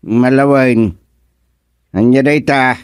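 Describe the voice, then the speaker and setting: A man plays a puzzled, inquisitive villager in an Ilocano radio comedy. He speaks slowly with an Ilocano accent, in a close, dry studio sound. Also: mid-range voice, steady tone, clear, resonant tone, dry recording, lightly acted. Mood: casual. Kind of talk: Ilocano radio drama acting.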